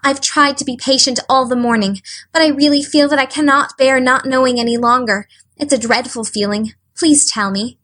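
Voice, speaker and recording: A woman's voice: sits high, fairly even intonation, moderate pace, hardly any pauses, a bit bright, voice pushed up. A woman voicing an eager, imaginative young orphan girl who speaks in a breathless, pleading, wide-eyed way. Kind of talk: audiobook character voice.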